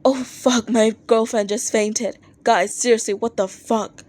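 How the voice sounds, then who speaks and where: Woman playing young online music fans, excitable, exclamatory, incredulous, fairly high voice, audiobook style. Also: measured pace. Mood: shocked.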